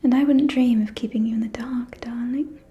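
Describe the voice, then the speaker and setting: A woman playing a scheming, falsely caring aristocratic vampire in a whispered ASMR roleplay, with a soft, breathy, silky and insinuating delivery. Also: a little high, steady tone, highs cut off, natural voice. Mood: falsely concerned. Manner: slow, silky, insinuating, condescending.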